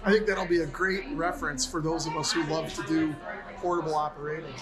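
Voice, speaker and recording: A man's voice: a little high, fairly even intonation, fairly quick, over loud music, poor audio. A man hosting a casual vlog and chatting on the radio in an easygoing, conversational way. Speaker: video host and radio operator. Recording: clear car and outdoor background noise.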